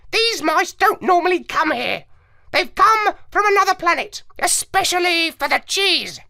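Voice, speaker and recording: A woman voicing a brainy, broody male parrot astronaut, rasping and lecturing in a children's story. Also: very high-pitched, bright, forceful tone, dry recording, studio quality, pitched above the performer's own voice, exaggerated voice. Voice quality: rasping, squawky. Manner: lecturing, conspiratorial.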